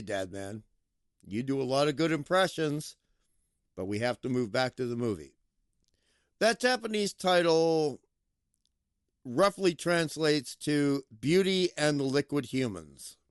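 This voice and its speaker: A man reviews a film in a playful, animated way. His pitch jumps all over as he drags out words for emphasis. He talks slowly and leans into every pause, and the sound is dry and close. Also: no echo. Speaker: movie reviewer. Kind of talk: casual enthusiastic film review.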